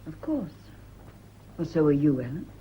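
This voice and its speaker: A woman's voice: sits low, very animated, moderate pace, slight background noise. An actress playing an anxious, haunted, genteel British woman in a radio play, tense beneath her politeness. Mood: uneasy, polite. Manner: tense, hushed warnings, polite. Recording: muffled old radio drama recording.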